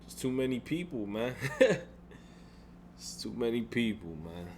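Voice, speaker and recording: Deep-voiced young man venting, rambling. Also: sing-song, unhurried, a little mellow, slight background noise. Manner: frustrated, rambling with frequent restarts and swearing. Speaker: gaming YouTuber. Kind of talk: casual venting vlog.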